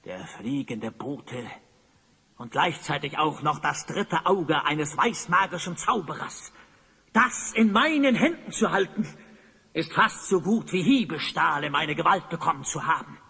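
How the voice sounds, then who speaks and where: An actor playing a tyrannical, gloating evil sorcerer in an audio drama, pompous and commanding. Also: male voice, fairly high pitch, expressive, measured pace, gravelly, pushed and bright, husky, exaggerated voice. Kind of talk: German audio drama acting. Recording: long cavernous reverb.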